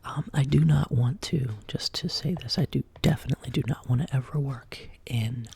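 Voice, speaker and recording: A woman hosting a soft-spoken ASMR chat, sick and sounding lower than usual, drifting between quiet speech and whispers in her home studio. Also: normal speed, gravelly, slightly rough. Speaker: ASMR channel host. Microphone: binaural desk microphone.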